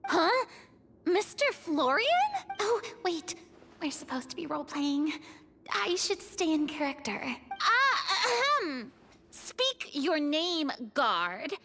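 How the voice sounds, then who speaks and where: Very high-pitched, earnest young anime girl. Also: slow pace, a little hiss or hum, exaggerated voice. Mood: flustered, earnest. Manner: gasping, expressive, earnest. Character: anxious, excitable young girl playing a tabletop role-play game. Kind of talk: anime game voice acting.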